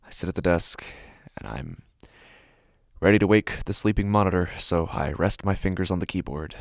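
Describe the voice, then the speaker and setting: Guy acting deep voiced anxious office worker losing it, halting intense horror podcast monologue, first person. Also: gravelly, dry recording, clean studio sound. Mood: eerily calm. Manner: halting, intense delivery in short broken phrases. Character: anxious, unraveling male office worker narrating his horror.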